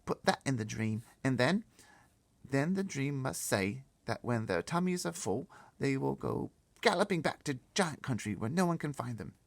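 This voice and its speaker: A man's voice: medium pitch, sing-song, moderate pace, vocal fry, voice pushed up. A man voicing a bossy, eager little girl in a storybook read-aloud, explaining things emphatically and confidently. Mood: urgent, commanding.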